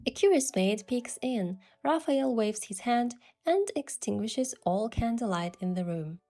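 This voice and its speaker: A woman's voice: fairly high pitch, expressive, a little slow, mellow. A woman reading scripted first-person romance narration and voicing the heroine. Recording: music behind the voice.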